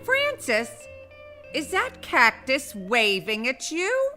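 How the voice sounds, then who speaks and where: A woman playing a wry, affectionate, brassy female vulture in a comic audio play, with emphatic, drawn-out delivery. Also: high voice, huge pitch swings, bright, forceful tone, soft background music, exaggerated voice.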